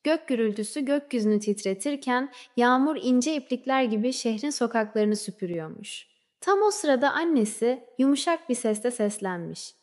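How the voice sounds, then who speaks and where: A woman narrating a scripted children's fairy tale in a measured storybook way, letting phrases trail off softly. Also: a little high, lively intonation. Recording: long reverberant hall-like echo.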